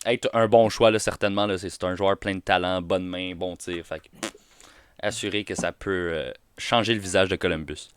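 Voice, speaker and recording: A man's voice: low-pitched, normal speed, slight background noise. A young man with a Québécois French accent, co-hosting a casual sports podcast over a video call, chatty and full of fillers. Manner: relaxed, chatty, many fillers and restarts. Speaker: podcast co-host.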